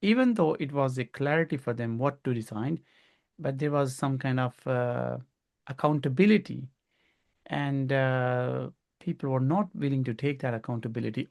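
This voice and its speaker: A man's voice: medium pitch, creaky, darkish tone. A middle-aged man from Pakistan with a Pakistani accent, hosting a conversational interview and often rephrasing as he searches for words. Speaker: podcast host.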